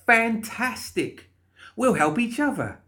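A man's voice: a little high, big pitch swings, moderate pace, pitched above the performer's own voice. A man voicing a perky, chatty undead woman in an audiobook, bubbly and pushy with coaxing false reassurance. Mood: cheerful, pushy. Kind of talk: audiobook character voice.

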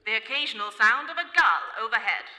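A woman's voice: on the high side, animated, fairly quick. A woman giving scripted narration for a radio play. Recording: echoey hall, music behind.

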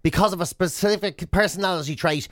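High-pitched Irish man, dry close sound. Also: fairly quick, dry recording. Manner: mock-earnest, deadpan. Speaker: radio breakfast show host. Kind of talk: comedic radio banter. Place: radio studio.

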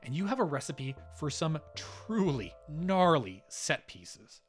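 A man's voice: on the high side, big pitch swings, takes it slowly, soft background music. A man narrating a scripted podcast as its host.

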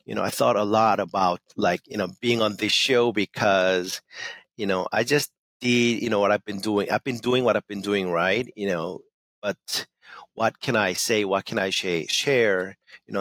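Man, gravelly, lowish voice, earnest, halting, dry studio sound. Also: fairly bright tone, dry recording. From Korean-American. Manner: earnest, hesitant, many fillers and restarts. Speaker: emcee and motivational speaker, guest. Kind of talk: radio interview, casual answers. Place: radio studio.

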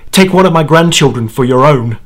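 A man playing an anguished, dying old grandfather in a first-person horror monologue, speaking haltingly and dramatically with repeated words. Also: a little high, lively intonation. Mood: desperate pleading. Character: anguished dying old grandfather confessing his terrible bargain.

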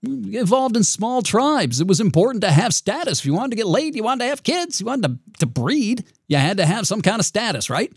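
A man with a raspy voice hosting his own podcast, talking in a reflective, rambling way with frequent restarts. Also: high-pitched, sing-song, fairly quick, hardly any pauses, dry recording, clean studio sound. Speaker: podcast host. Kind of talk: solo podcast monologue.

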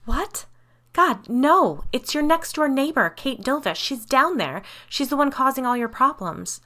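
A woman voicing a defiant, frightened, foul-mouthed heroine of a ghost story, snappish and forceful. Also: fairly low pitch, animated, normal speed. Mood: alarmed.

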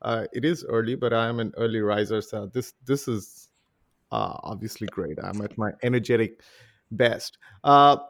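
A man in his forties from India, with an Indian accent blended with years abroad, speaking as a guest musician in a relaxed podcast interview, playful and joking in measured phrases. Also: on the low side. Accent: Indian, mixed with international influences. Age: 40s.

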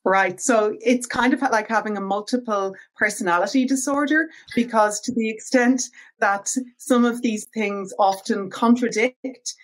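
A woman with an Irish accent, a podcast guest giving articulate, measured explanations. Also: fairly high pitch. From Ireland. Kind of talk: interview answers.

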